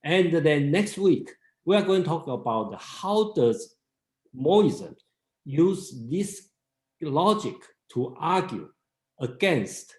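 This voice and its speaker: Male group leader, Mandarin native, halting delivery, roomy echo. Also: fairly high pitch, very animated, a little mellow. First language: Mandarin Chinese. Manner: halting, many restarts and fillers. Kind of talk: casual seminar talk.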